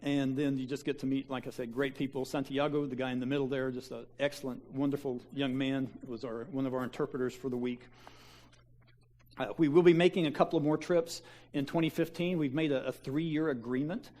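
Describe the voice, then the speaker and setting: A man pastors a small church and talks his congregation through mission-trip photos. His voice sits at a middling pitch, and his delivery is folksy and halting, full of fillers, restarts and offhand asides. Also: fairly even intonation, a little mellow. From Kansas. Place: church sanctuary.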